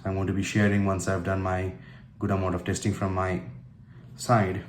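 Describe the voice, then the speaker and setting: A man with an Indian accent narrating an unboxing casually and unscripted, in halting phrases broken by pauses. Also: low-pitched, completely flat delivery. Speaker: tech and motorcycle vlogger. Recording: roomy echo with faint background noise.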